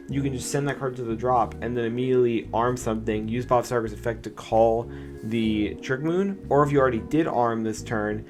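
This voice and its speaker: A young man with a slightly raspy voice, chatting casually through a card game deck test and explaining his plays. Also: mid-range voice. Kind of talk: casual gameplay commentary. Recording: music playing underneath.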